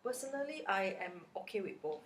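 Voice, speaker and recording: A woman's voice: mid-range voice, big pitch swings, brisk. A woman with a Malaysian accent giving casual interview answers as a college lecturer, often chuckling between phrases. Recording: roomy echo, background noise.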